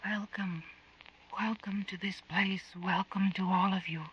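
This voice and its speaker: An actor voicing an alien intelligence from beyond the stars in an old radio drama, speaking in a flat, condescending, unvoiced whisper. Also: mid-range voice, measured pace, a little hiss or hum, lightly acted. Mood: cold, superior. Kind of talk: 1940s radio drama acting. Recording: dull distant old radio recording.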